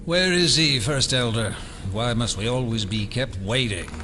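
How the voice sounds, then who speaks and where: Impatient, sharp-voiced conspiracy elder. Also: male voice, mid-range voice, a little slow. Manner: formal, complaining. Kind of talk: audio drama acting.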